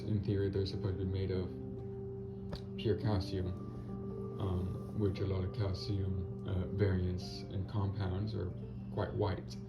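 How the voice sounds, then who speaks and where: A man with a raspy voice talking casually about his finished spray painting, hesitant with many pauses and fillers. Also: low voice. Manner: hesitant, many ums and restarts. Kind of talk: casual video commentary. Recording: muffled audio with loud music behind. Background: loud background music.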